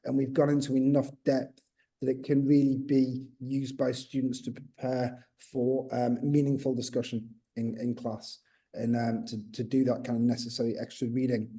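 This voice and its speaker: Mellow-voiced man presenting a webinar. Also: mid-range voice, level tone, normal speed. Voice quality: says he has a slight accent. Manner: explanatory, with frequent fillers and restarts. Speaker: textbook co-author. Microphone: video call.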